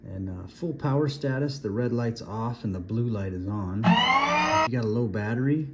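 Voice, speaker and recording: A man's voice: mid-range voice, very animated, normal speed, fairly bright tone, faint music behind. A man giving a casual, plainspoken hands-on product demonstration, explaining things step by step as a reviewer.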